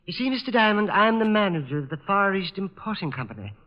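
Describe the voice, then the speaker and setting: An actor playing a fussy, nervous shop manager, speaking hesitantly and formally in a vintage radio drama. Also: male voice, high-pitched, big pitch swings, fast, big-room sound. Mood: nervous, polite. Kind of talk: 1940s-50s radio drama acting. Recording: dull, lo-fi old radio recording.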